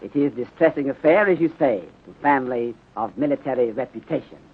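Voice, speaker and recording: A man playing a courteous, methodical Chinese detective inspector in 1930s radio drama style, speaking formally in clipped phrasing with deliberate pauses and dropped articles. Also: medium pitch, husky, natural voice. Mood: polite, assured. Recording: muffled, narrow-band 1930s radio recording.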